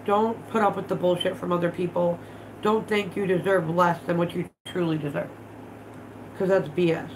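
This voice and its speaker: A woman in her thirties chats casually on a home livestream, her voice sitting a little low and sounding stuffed up from allergies. She talks bluntly and swears freely as she works with her hands. A faint room hum sits behind her, picked up by a phone on the table in her living room. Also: fairly even intonation, moderate pace. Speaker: vlog host.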